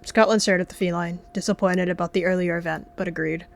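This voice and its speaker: A woman's voice: lowish voice, fairly even intonation, quick pace, creaky, soft background music. A woman narrating as a storyteller, reading scripted fiction in a dramatic style. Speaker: storyteller and roleplayer.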